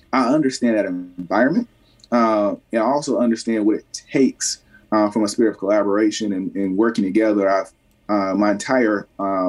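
A man, answering an interviewer in a measured, halting way and filling his pauses with "uh" and "you know." His voice sits fairly low and is picked up from a little way off the microphone. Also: a little mellow, distant mic, rough recording. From Columbia, South Carolina. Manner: halting, many fillers and restarts. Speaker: mayoral candidate. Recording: voice somewhat off mic.

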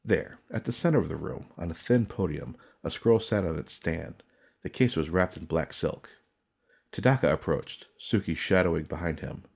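A man reading dramatic fantasy narration as an audiobook narrator, solemn, measured and suspenseful. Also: low voice, expressive, gravelly, slightly rough.

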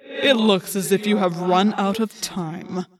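A woman voicing a cruel male alien villain commander in a menacing, gloating, drawn-out way for a story. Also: mid-range voice, fairly even intonation, a crowd in the background, lightly acted. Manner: slow, drawn-out, taunting.

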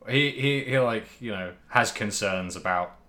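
A man's voice: on the low side, a little slow, pushed and bright. A man co-hosting a podcast, chatting casually and jokily about a video game in a rambling, stop-start way. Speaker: podcast co-host.

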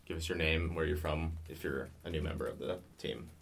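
Young male student host, very low voice, steady scripted news read. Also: brisk, gravelly, a little hiss or hum. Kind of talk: reading news stories in a studio booth. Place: recording booth.

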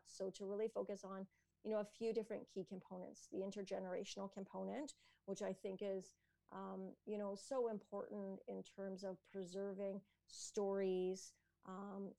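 Woman guest, Canadian, gravelly and flat in pitch, thoughtful with lots of fillers. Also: dry recording. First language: English. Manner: thoughtful, many fillers, soft laughs. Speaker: entrepreneur and educator, guest. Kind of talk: conversational interview answer.

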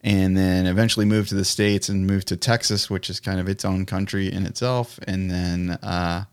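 A man's voice: low-pitched, fairly even intonation, normal speed, clean tone, no room sound. A man chatting casually as a podcast guest, telling things in an informal, anecdotal way.

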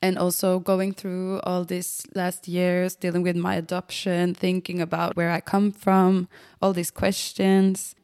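Slow, low-voiced woman over music. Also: completely flat delivery, darkish tone, smooth, no room sound, studio quality. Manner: hesitant, thoughtful, pausing between short phrases. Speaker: musician telling her own story. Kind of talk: reflective personal narration. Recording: music behind the voice.

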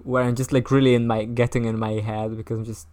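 A woman's voice: very deep voice, level tone, quick pace, warm tone. A woman in her twenties co-hosting a podcast, rambling and self-deprecating in casual chat. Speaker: podcast co-host.

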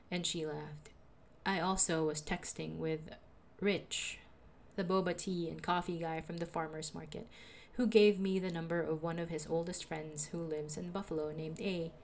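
A young woman with a soothing voice, calmly narrating a personal audio diary as a podcaster. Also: sits low, slight background noise. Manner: calm, reflective, confessional.

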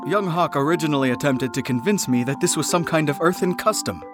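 An actor playing a haughty, formal alien prince in an audio drama, declaiming in a pompous, elaborate manner. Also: male voice, fairly high pitch, fairly quick, over music, close to an everyday voice. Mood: skeptical, lofty.

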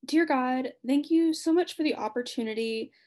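A woman's voice: high voice, moderate pace, dark tone. A woman in church communications, talking casually and giggly, with frequent "like". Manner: giggly, casual, says "like" often. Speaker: assistant director of communication.

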